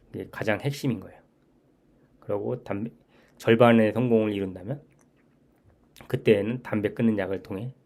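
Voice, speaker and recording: A man's voice: lowish voice, a little mellow. A man in his forties talking through his own experience in a reflective monologue, earnest and repeating his key points, often restarting sentences. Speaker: channel host.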